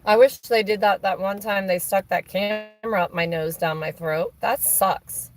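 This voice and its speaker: A woman with a hoarse, raspy voice chatting casually on a live stream, rambling with many fillers and restarts. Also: medium pitch, steady tone, moderate pace, soft background music. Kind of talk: casual chat on a phone call during a stream.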